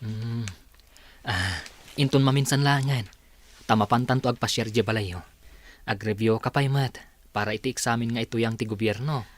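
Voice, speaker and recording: A man's voice: mid-range voice, moderate pace, pauses often, no room sound, lightly acted. A man playing an easygoing, politely evasive young working man in an audio drama, with a Filipino Ilocano accent. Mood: apologetic, reassuring.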